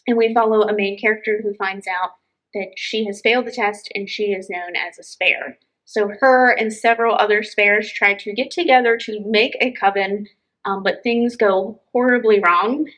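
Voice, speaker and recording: A woman's voice: mid-range voice, moderate pace, fairly bright tone, low-quality recording. A woman chatting casually and enthusiastically as she recommends books as a co-host. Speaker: library book show co-host. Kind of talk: casual book recommendation chat.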